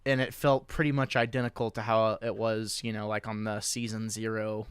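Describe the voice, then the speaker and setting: Smooth-voiced joking male podcast host. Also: on the low side, level tone, moderate pace. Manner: loose and joking, with many restarts. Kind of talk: casual podcast chat.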